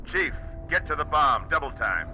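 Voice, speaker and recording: A man's voice: fairly high pitch, very animated, moderate pace. A man playing a stern, older fleet admiral in a video game, giving clipped, authoritative military orders. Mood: urgent command. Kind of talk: dramatic video game voice acting. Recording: loud music and effects behind.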